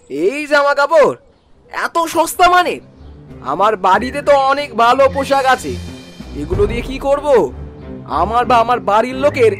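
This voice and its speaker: Haughty high-pitched young son-in-law. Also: male voice, fairly bright tone, heavily acted. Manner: sneering, condescending. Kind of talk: Bengali cartoon voice acting.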